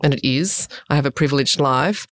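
A woman's voice: very deep voice, a little fast. A middle-aged Australian woman from Sydney, talking chattily and expressively as a guest in a podcast interview. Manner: chatty and expressive, with frequent fillers. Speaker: guest, urban design director.

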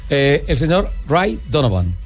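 A man's voice: on the high side, big pitch swings, a little slow, faint music behind. A man hosting a radio interview in a studio, announcing his guests in a formal way. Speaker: radio host.

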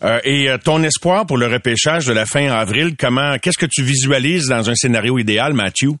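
An older man asks long, winding questions in an eager tone. His voice is of middle pitch. The sound is dry and close. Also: a little fast, a bit bright, no echo. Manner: wordy, enthusiastic questions. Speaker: sports interviewer. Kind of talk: radio sports interview.

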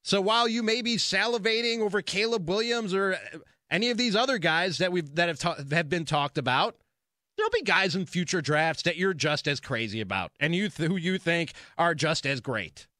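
Sports talk host, male, high-pitched and punchy, emphatic and opinionated, dry studio mic. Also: lively intonation, normal speed, pushed and bright, no echo. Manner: emphatic, insistent, repeating phrases for stress. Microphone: close broadcast studio mic.

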